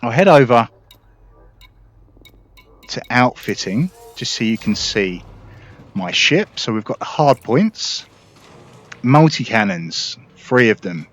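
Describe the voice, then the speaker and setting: A man's voice: medium pitch, sing-song, slow, long pauses, fairly bright tone. A man giving casual, informal gameplay commentary, explaining things as a video host.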